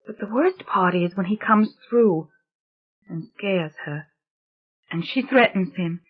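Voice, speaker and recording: A woman's voice: mid-range voice, husky. A woman voicing a frightened young girl who pleads in an anguished, tearful rush. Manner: anguished rushing pleading with sobs. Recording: dull lo-fi recording.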